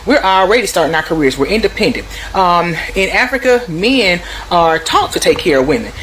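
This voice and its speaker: An American woman with a lowish voice talks casually to her viewers. She is emphatic and wanders off into asides as she gives her opinions. There is clear noise behind her. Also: animated, a little fast, a bit bright, rough recording. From United States. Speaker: video host.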